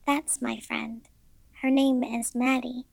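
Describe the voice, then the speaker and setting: Woman voicing a high-pitched, slow-speaking frightened little girl. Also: flat delivery, creaky, dry recording, exaggerated voice. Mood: matter-of-fact, eerie. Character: frightened six-year-old girl who sees a ghost.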